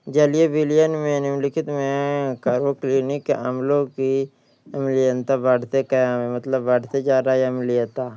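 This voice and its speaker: A male coaching teacher reads practice questions and answers at a slow, deliberate pace. His voice is very clean and smooth, with little melodic variation and a dry, close sound. He tends to repeat the answers. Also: medium pitch, mellow, no room sound.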